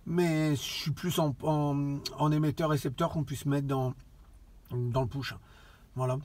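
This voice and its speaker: A man chatting casually as an amateur radio operator, halting and often repeating his phrases. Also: on the high side, level tone, a little mellow. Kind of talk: casual radio contact chat.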